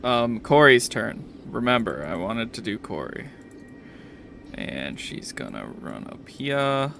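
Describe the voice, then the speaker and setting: A man's voice: medium pitch, sing-song, slow, creaky, a bit bright, a little hiss or hum. A man acting as game master, narrating a tabletop roleplaying game in an informal, matter-of-fact way. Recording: music behind the voice. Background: music.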